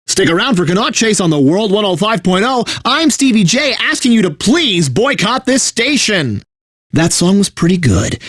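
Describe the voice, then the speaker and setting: A man's voice: high-pitched, fairly bright tone, slightly rough, no echo, clean studio sound, heavily acted. An actor playing a bitter, sardonic video game radio DJ who resents his corporate bosses, mixing station patter with theatrical despair. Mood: sardonic, fed up.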